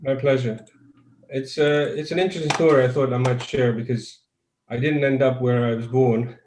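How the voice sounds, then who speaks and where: A man's voice: mid-range voice, steady tone, moderate pace, echoey room, noisy background. A man from Croatia, a guest neuroscientist, answers interview questions over a video call in a thoughtful, conversational way and often restarts his sentences.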